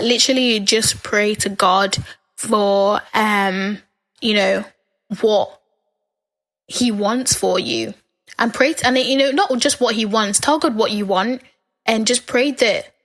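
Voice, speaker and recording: A young woman, hosting her podcast in a casual, animated solo chat and a little hoarse from talking. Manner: animated, chatty, acts out quoted lines with big pitch jumps. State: slightly hoarse, losing her voice. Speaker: podcast host.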